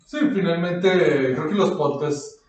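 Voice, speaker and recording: A man's voice: high voice, unhurried, darkish tone. A man with a Mexican accent chatting informally as a podcast co-host, using slang and the odd English word, in a makeshift studio. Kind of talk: casual chat. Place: echoey makeshift studio, indoors. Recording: echoey room, distant from mic.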